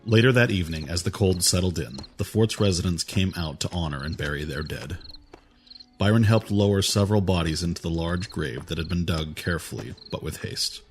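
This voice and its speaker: A man narrating an audio drama in a measured, even storytelling manner. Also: sits low, slight background noise. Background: music score.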